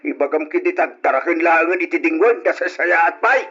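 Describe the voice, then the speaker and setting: Stern, husky-voiced father. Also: a little high, lively intonation, brisk, pushed and bright, faint music behind, heavily acted. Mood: gruff, scornful. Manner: gruff, commanding, dismissive. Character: stern, stubborn middle-aged father, scornful and domineering. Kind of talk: old radio drama acting. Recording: dull, lo-fi old radio drama recording.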